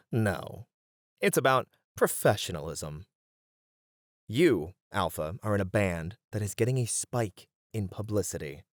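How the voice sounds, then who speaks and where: An uptight band manager voiced by a man with a low voice, earnest and a little exasperated. He sounds stressed but caring, speaking hesitantly in an ASMR roleplay. The recording is dry. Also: big pitch swings, measured pace, long pauses, dry recording. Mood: exasperated, stern.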